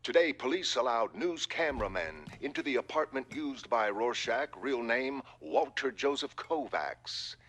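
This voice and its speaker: A man playing an impersonal television news reader with measured, clipped announcing in a comic-book drama. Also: mid-range voice, animated, a bit bright. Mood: formal, detached. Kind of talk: news bulletin within comic-book reading.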